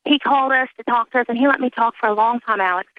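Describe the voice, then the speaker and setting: A fairly high female voice comes through a tinny telephone line as a caller to a radio show. She rushes earnestly from point to point and fills the gaps with "um". The sound is dry and close. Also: no room sound. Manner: hurried, earnest, jumps between points with "um". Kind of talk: call-in phone talk.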